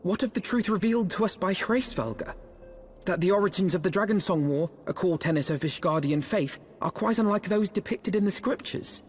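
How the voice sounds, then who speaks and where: A man's voice: high voice, moderate pace, soft background music, natural voice. An actor playing an earnest, scholarly elven boy in a fantasy video game, formal and inquisitive in manner. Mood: earnest, questioning.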